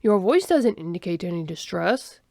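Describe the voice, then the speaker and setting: A woman's voice: lowish voice, big pitch swings, moderate pace, gravelly, a little mellow, no room sound, studio quality. A woman voicing a small, curious male aquatic alien with formal, stilted phrasing in a story. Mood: earnest concern.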